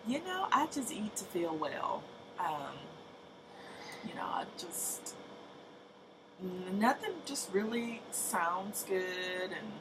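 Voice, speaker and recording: A woman in her late thirties talks slowly and haltingly to her camera, pausing on ums and restarting her sentences. Her voice is low with a gravelly fry, and she sounds tired. The home recording carries clear background noise. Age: 30s. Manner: soft-spoken, hesitant, many ums and restarts. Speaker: vlogger. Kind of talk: casual personal update to camera.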